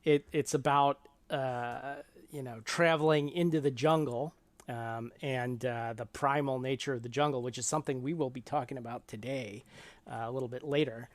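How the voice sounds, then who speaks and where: A middle-aged man hosting a podcast in a casual, halting way, thinking aloud as he talks. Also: mid-range voice, fairly even intonation, no room sound. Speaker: podcast host.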